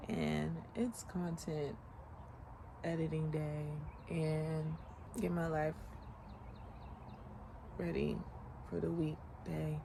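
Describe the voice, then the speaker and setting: A woman in her late twenties talks slowly and easily in a casual vlog, her voice gravelly with fry. She rambles reflectively with lots of fillers, recorded outdoors on a sunny morning with clear outdoor ambience behind her. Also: deep voice, background noise. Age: late 20s. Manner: relaxed, rambling, many "like" fillers. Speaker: vlogger.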